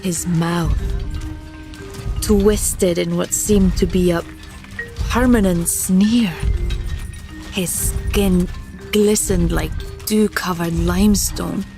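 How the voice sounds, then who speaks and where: A woman narrates a fantasy story at a slow, deliberate pace. Her voice sits slightly low, with music playing softly behind her. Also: fairly even intonation, gravelly.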